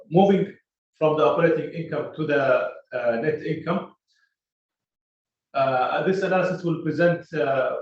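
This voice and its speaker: A man presenting financial results as a company executive on an earnings call, speaking in a halting, measured way with many hesitant pauses and uhs. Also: mid-range voice. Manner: halting, measured explanation of figures. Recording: echoey, distant, muffled conference call audio.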